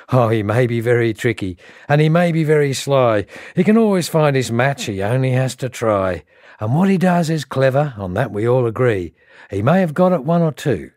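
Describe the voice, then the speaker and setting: A man's voice: medium pitch, darkish tone, dry recording, clean studio sound, voice pushed up. A man playing a wary, cheeky Australian racegoer, reciting comic verse with a lilting, colloquial delivery.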